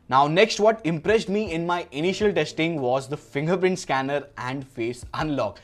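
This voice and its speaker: A young man with an Indian accent narrating an unboxing as a tech reviewer. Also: on the high side.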